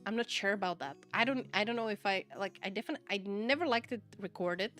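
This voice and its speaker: A woman's voice: mid-range voice, fast, fairly bright tone, soft background music. Chatty Czech-accented woman streamer. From Czech Republic. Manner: chatty, laughs often, repeats "okay?" to stress points. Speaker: VTuber streamer. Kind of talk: casual livestream chat, answering viewer chat.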